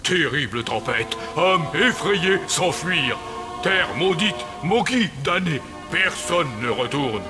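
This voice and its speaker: A slow, growling cannibal chief. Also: male voice, fairly high pitch, animated, music in the background. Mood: solemn. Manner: broken pidgin speech, growls, slow deliberate delivery. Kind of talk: French dub of game cutscene.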